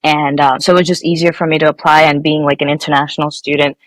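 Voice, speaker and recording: A woman's voice: low voice, flat delivery, fast talker, no echo. A young woman from Nepal, a guest engineer, answering interview questions casually with many fillers and restarts.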